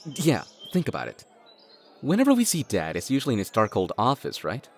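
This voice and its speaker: A man playing a level-headed, slightly dorky adult brother in an audio drama, talking in a measured, wry way. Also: mid-range voice, huge pitch swings, slight background noise. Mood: reasoning.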